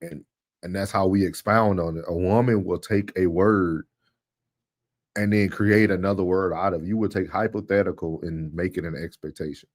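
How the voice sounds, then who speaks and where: A man with a lowish voice, recorded dry with no room sound, talks casually as a stream co-host. He is opinionated and emphatic, often saying a point again to make it land. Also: steady tone, moderate pace, no echo.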